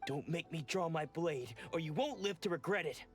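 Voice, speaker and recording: An actor playing a proud, defensive and troubled samurai in a video game, speaking formally and earnestly. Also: male voice, fairly high pitch, lively intonation, moderate pace, faint music behind, close to an everyday voice. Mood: hostile warning. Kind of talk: video game character dialogue.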